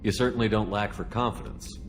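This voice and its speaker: A man's voice: lowish voice, fairly even intonation, brisk, noisy background, lightly acted. A man playing a businesslike starship commander in a video game, direct and authoritative. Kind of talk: video game cutscene voice acting.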